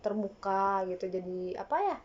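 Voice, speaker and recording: A woman's voice: mid-range voice, expressive, brisk, lo-fi sound. A woman from Indonesia whose first language is Minangkabau, chatting affectionately in a casual couple Q&A vlog and switching between Indonesian and English. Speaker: vlogger.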